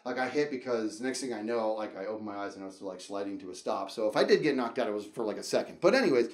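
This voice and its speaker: A middle-aged man telling a casual, rambling comedic story in an animated way, acting out dialogue and reactions as he goes. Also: fairly low pitch, fast, echoey room. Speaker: storyteller and show host.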